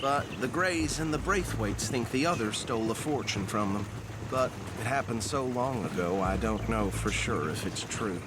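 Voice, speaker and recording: A male actor voices an earnest small-town lawman with a Southern US accent and a medium pitch. He is folksy, talkative and a little pompous, as in a western game, with noticeable wagon noise behind him. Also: expressive, moderate pace, lightly acted. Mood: gossipy. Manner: self-important, folksy, talkative. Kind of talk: western video game acting.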